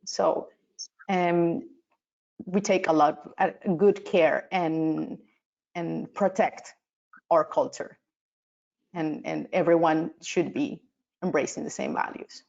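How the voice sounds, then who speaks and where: A woman's voice: low-pitched, steady tone, takes it slowly, creaky, long pauses, darkish tone. A woman answering questions as an award finalist in a webinar, hesitant with many fillers and restarts, over a video call. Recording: dull, lo-fi video call audio.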